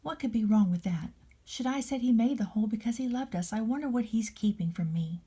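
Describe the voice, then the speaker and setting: Woman reading as a curious, naive storybook boy, softly mellow. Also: fairly high pitch, lively intonation, a little fast, breathy edge.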